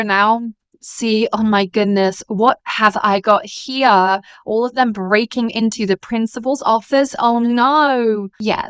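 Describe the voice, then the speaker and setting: A woman's voice: a little high, a bit bright, clear, resonant tone, no room sound. A woman playing a smug, taunting rival schoolgirl in a voiced drama series, with a sardonic, drawling delivery. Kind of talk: voice-acted series dialogue.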